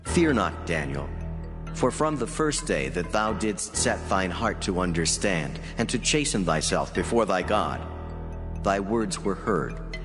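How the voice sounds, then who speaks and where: A man playing a solemn heavenly messenger in dramatized scripture, delivering prophecy in a measured, declamatory way. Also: sits low. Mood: solemn, reassuring. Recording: long hall reverb under clear music. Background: music.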